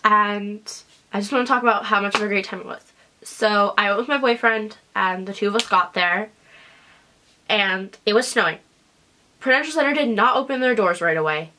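A woman's voice: mid-range voice, normal speed, a little hiss or hum, poor audio. Chatty congested young woman in her bedroom. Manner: chatty and animated, lots of "like" and "whatever". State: congested, sniffling. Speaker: vlogger. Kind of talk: casual storytelling vlog. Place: small bedroom, indoors. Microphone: camera in front at desk distance.

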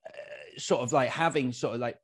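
A man's voice: medium pitch, moderate pace, slightly rough. A man in his thirties with an English accent, hosting a casual interview chat into a studio mic. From Leeds, northern England. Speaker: interview host. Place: room with bare brick wall. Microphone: studio mic on boom arm.

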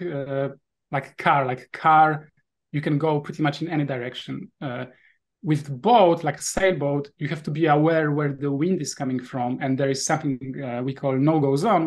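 A man in his thirties from Poland with a Polish accent, a guest on a video call, explaining things thoughtfully with many fillers and restarts. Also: fairly high pitch. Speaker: guest, VR sailing app developer. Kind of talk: casual interview answers.

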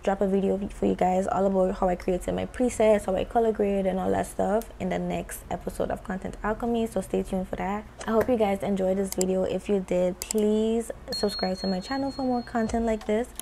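Relaxed West Indian woman explaining editing. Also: medium pitch, a little fast, gravelly, hardly any pauses, a little hiss or hum. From West Indies. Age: young adult. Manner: relaxed, conversational, careful articulation. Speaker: content creator tutorial host. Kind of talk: casual tutorial walkthrough. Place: small indoor home studio. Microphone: handheld microphone close to mouth.

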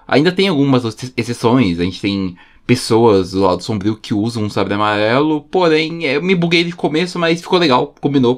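A man with a Brazilian accent giving enthusiastic, informal fan commentary as a YouTube host, using plenty of slang. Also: medium pitch. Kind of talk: casual fan commentary voice-over. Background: music under the voice.

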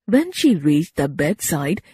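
A woman narrating a children's story in a measured, expressive storybook style. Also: low voice, slightly rough, dry recording, studio quality.

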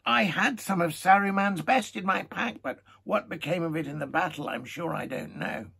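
A man reading aloud and voicing a cheerful, easygoing young hobbit in a slightly raised, raspy voice. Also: high-pitched, normal speed, sharp tone, pitched above the performer's own voice, full character voice.

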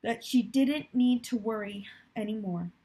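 A young adult woman reads a children's story aloud. Her voice sits a little high and has a husky edge. She delivers it as narration, like a teacher reading to a class. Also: a little slow, mellow. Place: plant-filled room indoors by a window.

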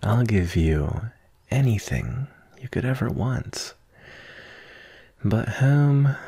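A man playing an obsessive, possessive, lovesick kidnapper in an ASMR roleplay, breathy and often near a whisper, speaking intensely and intimately. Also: deep voice, a little mellow, full character voice. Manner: slow, intense, intimate, addressing a captive darling.

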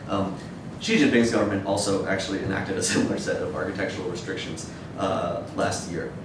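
A man in his thirties giving an academic lecture in a measured, hesitant way. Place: dim wood-shelved seminar room with audience, indoors. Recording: echoey room, distant mic, noisy rumble.

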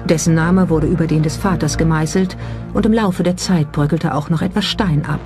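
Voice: mid-range voice, fairly even intonation, moderate pace. A documentary narrator reading a scripted narration. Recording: music under the narration. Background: music.

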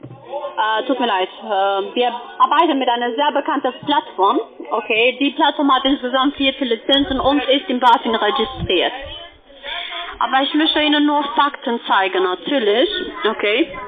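A woman who is a non-native speaker, making a sales pitch as a call centre agent. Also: moderate pace, crowd noise. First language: not German. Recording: narrow, tinny phone line.

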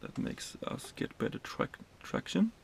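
A man in his thirties from Sweden, a truck driver giving a casual tour of his cab, explaining the controls in a halting way with many fillers and restarts. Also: slight background noise.

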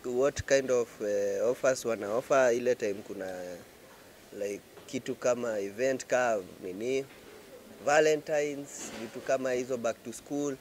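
A man's voice: medium pitch, fairly even intonation, a little slow, a little mellow, slight background noise. A young man with a Kenyan accent hosting a casual interview, switching between Swahili and English, on a handheld mic. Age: young adult. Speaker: show host, interviewer. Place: inside a supermarket. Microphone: handheld microphone. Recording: music playing behind the voice. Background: music.

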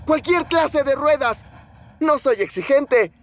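A man's voice: very high-pitched, expressive, slow, very noisy, full character voice. A man voicing an eager, pleading abandoned railway coach in a Latin American Spanish cartoon dub. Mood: excited pleading. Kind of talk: animated children's cartoon dubbing.

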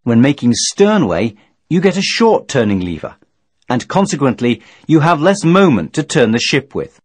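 A man reading scripted instructional narration in a measured, formal, didactic manner. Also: medium pitch, very animated, slightly rough, no room sound. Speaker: training video narrator.

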